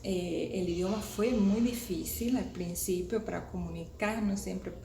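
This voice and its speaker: A woman's voice: lowish voice, unhurried, vocal fry. A Cuban woman in her thirties with a Cuban Spanish accent, talking casually to her viewers with many restarts and hesitations. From Cuba. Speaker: vlogger. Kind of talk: casual talk to camera. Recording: echoey room with background noise.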